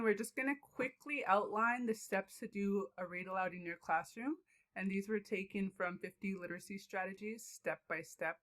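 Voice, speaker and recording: A woman's voice: mid-range voice, fairly bright tone. A young woman giving an informal presentation as a student teacher.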